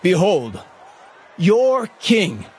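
A man's voice: sits high, huge pitch swings, very slow, darkish tone, breathy edge, pitched above the performer's own voice, exaggerated voice. A man voicing an exasperated, authoritative Roman governor in an emphatic, declamatory way for a scripture reading. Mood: forceful, pressing.

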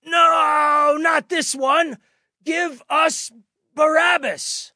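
A man voicing an angry mob of priests demanding an execution in a dramatic scripture telling, shouting vehemently. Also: extremely high pitch, fairly even intonation, drawn-out words, no room sound, clean studio sound, pitched above the performer's own voice, exaggerated voice. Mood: furious shouting.

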